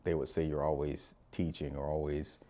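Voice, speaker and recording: A man's voice: a bass voice, little pitch movement, normal speed, dark tone. A man hosting a podcast interview, easygoing and affirming, often saying it makes sense. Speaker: podcast host.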